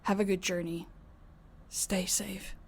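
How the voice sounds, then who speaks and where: A woman playing a caring, calm vampire in an audio roleplay, speaking in a soft, gentle, concerned way. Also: mid-range voice, takes it slowly, vocal fry, slight background noise. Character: caring, calm female vampire who looks after her housemates.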